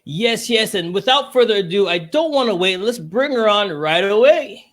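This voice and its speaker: A man's voice: high-pitched, moderate pace. A man hosting a morning podcast, greeting listeners with energy and then interviewing a guest in casual chat. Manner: energetic, hyped-up welcome. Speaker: podcast host.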